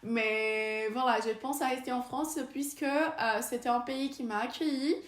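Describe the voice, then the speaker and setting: A woman's voice: high-pitched, steady tone, normal speed. A young woman with a Brazilian Portuguese accent, a native Portuguese speaker, talking casually to camera in French, hesitant, with many fillers and restarts. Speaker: vlogger. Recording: echoey room.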